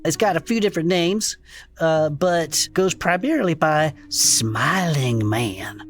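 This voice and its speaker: A woman's voice: low-pitched, expressive, measured pace, soft background music. A woman playing a wry, skeptical, folksy cryptid investigator who questions everything, in conversational audio fiction. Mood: wry, hesitant.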